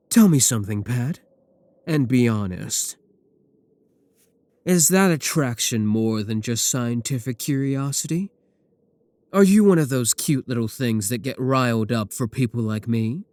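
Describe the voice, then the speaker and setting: A man playing a possessive werewolf professor in an intimate ASMR roleplay, speaking deliberately with coolly affectionate menace. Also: medium pitch, no echo. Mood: coaxing, teasing. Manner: slow, deliberate, soft chuckles, pet names. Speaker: voice actor in a roleplay audio.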